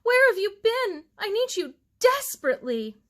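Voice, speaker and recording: A woman reading a children's novel aloud, voicing an excited, affectionate young bride-to-be in a gushing, dramatic way. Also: very high-pitched, normal speed, voice pushed up, full character voice. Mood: frantic, pleading. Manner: gushing, effusive.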